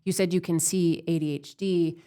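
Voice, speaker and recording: A woman with a notably low voice co-hosts a podcast. She asks questions curiously, pausing often with fillers as she works out her thought. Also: fairly even intonation, mellow, clean tone. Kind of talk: casual chat.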